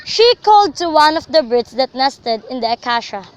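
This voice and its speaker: A woman's voice: high voice, very animated, normal speed, a little hiss or hum. A woman reading scripted literary narration for a student radio drama, in a dramatic storytelling manner.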